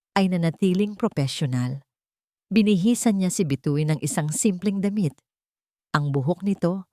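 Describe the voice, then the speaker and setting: A woman narrating a sentimental story in a scripted, dramatic style with emotive, deliberate phrasing. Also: on the low side, darkish tone, no room sound, studio quality.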